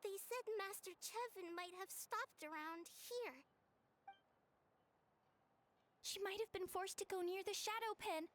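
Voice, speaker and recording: A woman voicing a distraught young girl in a video game, timid and pleading in a small tearful voice, stammering and halting, with faint music behind. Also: extremely high pitch, a bit bright. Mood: worried, pleading. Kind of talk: video game character dialogue.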